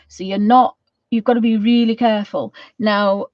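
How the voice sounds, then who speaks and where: A woman with a croaky voice from a cold, chatting casually as she gives a painting tutorial and rambling with many ums. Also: mid-range voice, steady tone, moderate pace, dry recording. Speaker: art channel host. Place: home studio. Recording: dry, slightly dull sound.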